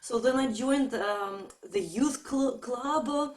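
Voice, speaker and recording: A woman, talking casually as an interview guest over a video call and pausing on fillers. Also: fairly high pitch. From Russia. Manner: chatty, pauses with fillers. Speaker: guest musician.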